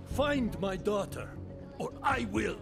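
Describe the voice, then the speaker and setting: A man's voice: high voice, lively intonation, slow, gravelly, heavily acted. An actor playing a frightened older watchmaker pleading for his daughter, his voice breaking, in a video game cutscene. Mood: frantic. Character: frightened old male watchmaker, desperate father. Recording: game cutscene with music behind.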